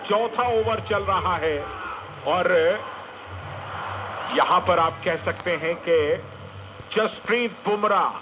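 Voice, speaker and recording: High-pitched man commentating cricket over crackly radio. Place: live sports commentary picked up on radio. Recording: noisy narrowband AM radio broadcast.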